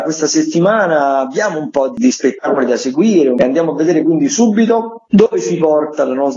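Male theatre critic, mellow, fairly high voice, presenting radio listings, roomy echoing sound. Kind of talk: radio agenda, conversational presenting. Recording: echoey, slightly dull sound.

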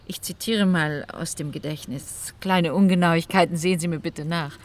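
A woman's voice: on the low side, fairly even intonation, normal speed, slight background noise, lightly acted. An actress playing an infatuated female scholar, confiding and musing in a radio play monologue. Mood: wistfully enraptured. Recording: clear outdoor ambience with birdsong.

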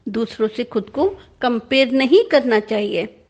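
A woman's voice: a little high, unhurried, darkish tone. A woman voicing an innocent, earnest little schoolgirl in a childlike manner for a storybook reading. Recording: dull lo-fi recording.

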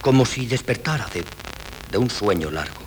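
An actor playing a modest, drily bemused doctor in a 1970s radio play, with deliberate, formal theatrical delivery. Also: male voice, on the low side, animated, lightly acted. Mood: measured. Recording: noisy 1970s radio recording.

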